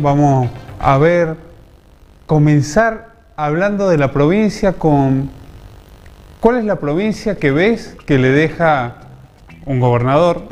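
Man hosting a studio panel, argentine accent, lapel mic, slightly higher voice. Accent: Argentine Spanish. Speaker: talk show host. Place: TV studio set.

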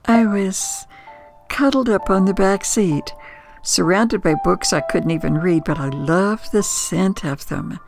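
A woman's voice: mid-range voice, gravelly, music in the background. An older woman with an American accent telling nostalgic memories in a reflective, conversational way. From United States. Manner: reflective, conversational, unhurried with pauses. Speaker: storyteller. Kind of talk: nostalgic first-person storytelling.

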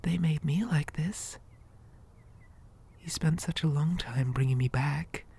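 A possessive living doll acted by a woman whispers in an ASMR horror roleplay. The voice is low and dark, with a creaky edge. It coaxes softly and hesitantly, with a gentle, childlike menace. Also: exaggerated voice. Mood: calmly menacing. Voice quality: breathy whisper. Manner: soft, coaxing, slow and hesitant.

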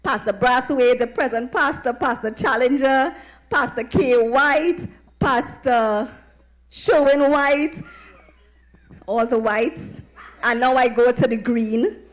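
A woman evangelist with a Trinidadian accent and a high-pitched voice addresses a church gathering. She speaks into a handheld microphone and keeps calling on listeners to answer back as she preaches. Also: slow. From Trinidad and Tobago. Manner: call-and-response, asking listeners to affirm. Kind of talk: preaching, welcoming a congregation. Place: tented church platform with draped backdrop.

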